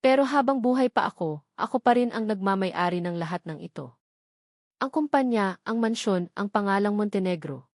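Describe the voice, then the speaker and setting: A woman's voice: mid-range voice, expressive, normal speed, no room sound, clean studio sound, natural voice. A woman storyteller voicing a stern elderly father, a rich patriarch who speaks in a commanding, uncompromising way. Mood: stern, threatening.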